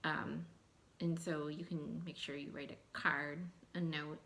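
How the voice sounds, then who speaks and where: A woman's voice: deep voice, level tone, a little slow, fairly bright tone. A woman giving school announcements and reading a storybook aloud to young children. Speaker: elementary school staff member reading aloud.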